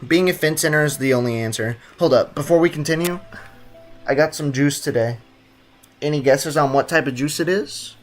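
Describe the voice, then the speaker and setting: A man's voice: a little high, moderate pace, soft background music. A young man, streaming a game and making sarcastic jokes with a lot of laughter. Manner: joking, sarcastic, laughs often. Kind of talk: gameplay livestream commentary.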